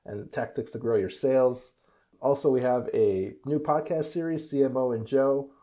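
A man hosting a business podcast, giving a lively promotional intro and asking his guest questions. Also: medium pitch. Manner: upbeat, promotional delivery. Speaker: podcast host. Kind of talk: casual podcast intro and interview.

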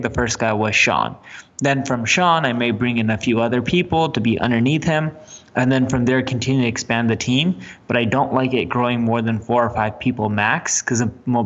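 A man's voice: medium pitch, fairly bright tone. A man co-hosting a business podcast, giving direct, matter-of-fact advice drawn from his own practice. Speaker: podcast co-host. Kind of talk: casual business podcast chat. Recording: dull, lo-fi recording.

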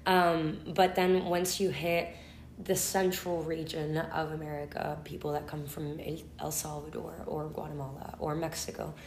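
Woman, Argentine Spanish accent, very low voice, earnest podcast guest, roomy echo. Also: level tone, moderate pace, darkish tone, slight background noise. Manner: thoughtful, earnest, with frequent "um"s and restarts. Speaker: interpreter and translator, podcast guest. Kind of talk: conversational podcast interview.

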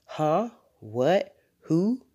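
A woman's voice: lowish voice, very animated, slow, darkish tone, pitched above the performer's own voice, heavily acted. A woman voicing a sleepy, befuddled father in a children's storybook, questioning and objecting.

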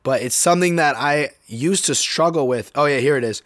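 A young man with a sore throat, slightly hoarse, hosting his own podcast in a laid-back, slangy, rambling way. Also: fairly high pitch, fairly quick, fairly bright tone, no room sound, studio quality. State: sore throat, getting over being sick. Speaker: podcast host. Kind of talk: casual solo podcast talk.

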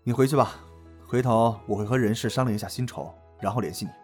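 A man's voice: mid-range voice, fast talker, a little mellow, faint music behind, lightly acted. An actor playing a cool, exacting male office supervisor in an audio drama, curt and authoritative. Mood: cool, probing. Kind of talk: Chinese audio drama acting.

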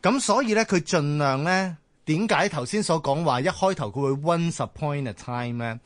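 A man's voice: on the high side, lively intonation, moderate pace, no room sound. A man with a Hong Kong Cantonese accent talks through ideas as a discussion panelist. His intonation swings widely as he explains and checks that he is followed. The sound is close and dry. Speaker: talk show panelist.

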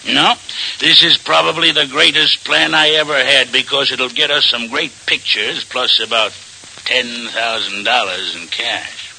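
An actor playing a fat, smug, glib criminal photographer with a pompous, flippant manner in an old radio crime drama. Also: male voice, medium pitch, steady tone, measured pace, vocal fry, pushed and bright, a little hiss or hum, full character voice, poor audio. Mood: scheming, breezy.

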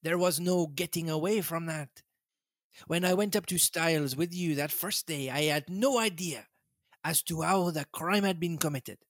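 A man's voice: on the high side, moderate pace, dry recording. Man playing fussy old detective, put-on French accent, coaxing hmms, dry sound. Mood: patient. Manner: coaxing, ends lines with a questioning hmm. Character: fussy elderly male Belgian detective.

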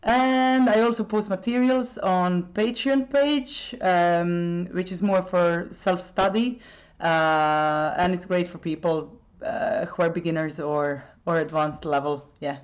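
Guest, woman with a Croatian accent, lowish voice, hesitant with fillers, dull lo-fi audio. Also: fairly even intonation, takes it slowly. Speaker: language teacher, guest. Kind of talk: podcast interview. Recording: dull lo-fi sound.

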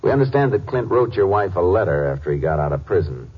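Deep-voiced, firm Texas Ranger. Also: male voice, sing-song, quick pace, husky, heavily acted. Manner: terse, polite, authoritative. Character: calm, firm Texas Ranger questioning a suspect. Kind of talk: 1950s radio drama acting. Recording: lo-fi old radio, faint hiss.

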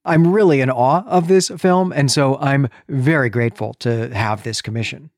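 A man's voice: mid-range voice, moderate pace, darkish tone, dry recording. Earnest man podcasting, close dry sound. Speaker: podcast co-host. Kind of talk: conversational film discussion and plot synopsis.

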